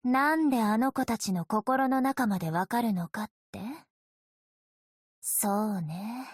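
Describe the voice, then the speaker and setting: A woman's voice: fairly high pitch, measured pace, slightly rough, no room sound, clean studio sound. A woman voicing a coy, playful young female vampire in an anime-style game, with casually threatening undertones. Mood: coy, teasing. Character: teasing, sinister young female vampire, sweetly menacing. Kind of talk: game character voice lines in Japanese anime acting.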